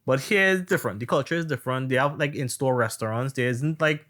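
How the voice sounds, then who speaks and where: Man, animated storyteller. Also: mid-range voice, quick pace. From Trinidad and Tobago. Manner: animated storytelling, acts out others' questions. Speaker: podcast co-host. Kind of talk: casual chat.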